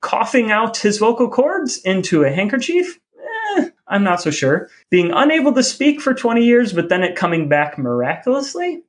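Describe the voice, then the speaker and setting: A man speaks as a podcast co-host in casual conversation. His voice sits a little higher than average for a man, and he talks in an informal, conversational way. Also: very animated, normal speed, hardly any pauses.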